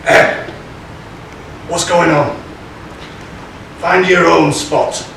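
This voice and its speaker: An actor playing a comic, ragged old pantomime prisoner and former chambermaid, rambling through stories with hesitations. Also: male voice, fairly high pitch, flat delivery, drawn-out words, pushed and bright, exaggerated voice. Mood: grumpy. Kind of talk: stage pantomime comedy acting. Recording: very echoey hall, distant mic, clear noise.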